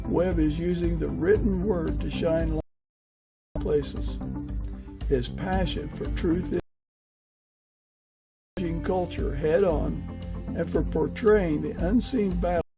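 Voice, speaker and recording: Guy hosting a podcast, raspy gravelly voice, kinda high pitched, talks slow and earnest. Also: poor audio. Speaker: podcast host and interviewer.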